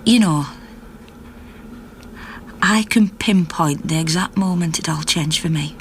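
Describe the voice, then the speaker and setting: A feisty middle-aged miner's wife with a Yorkshire accent and a lowish voice. She tells her story indignantly and conversationally, mimicking each exchange as in a radio drama, over clearly audible background noise. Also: slow. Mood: reflective. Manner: animated, conversational storytelling, acting out dialogue. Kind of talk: naturalistic radio drama monologue.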